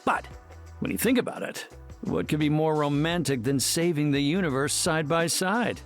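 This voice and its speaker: A man voicing a devoted, brainy superhero husband in a video game, hesitant and gently dry. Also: on the high side, moderate pace, natural voice. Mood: sheepish, affectionate. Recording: music behind.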